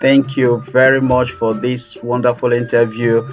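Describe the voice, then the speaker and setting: A male interview host who talks haltingly with many fillers, wrapping up a remote interview. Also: medium pitch, level tone. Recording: dull sound with faint music.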